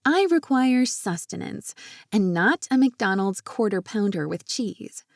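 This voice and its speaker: A woman's voice: mid-range voice, expressive, unhurried, dry recording, studio quality. A woman voicing a bubbly, teasing young woman in audiobook character dialogue. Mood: playful. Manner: exclamatory, teasing. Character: bubbly, playful young woman out with her best friend.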